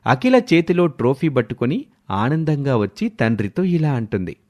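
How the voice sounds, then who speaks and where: Male narrator for moral tales: mid pitch, highly varied melody, scripted storytelling delivery. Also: very animated, moderate pace, a little mellow.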